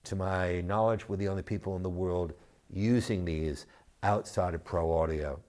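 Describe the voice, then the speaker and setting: A man presenting his company's product in an unscripted way, speaking in a measured, halting manner with deliberate pauses and emphasis. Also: low voice, steady tone, darkish tone.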